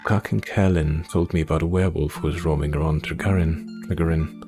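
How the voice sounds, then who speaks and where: A man's voice: a bass voice, steady tone, brisk. A man reading a video game aloud and adding brief, casual comments as he plays. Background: faint music.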